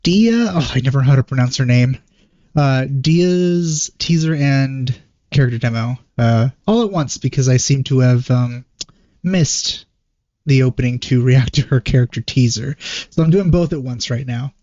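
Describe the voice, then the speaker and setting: A man giving casual reaction commentary as a video host, in a hesitant, low-key manner. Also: moderate pace, mellow, no room sound, lo-fi sound. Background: game trailer music.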